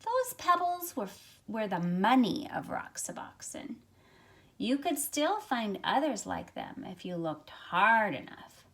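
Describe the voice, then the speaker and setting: Slow, lilting woman reading aloud. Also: fairly high pitch. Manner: expressive, measured storytelling with drawn-out phrases and softened asides. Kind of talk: reading a picture book aloud with asides to young listeners. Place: small carpeted room at home, indoors. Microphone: phone at arm's length.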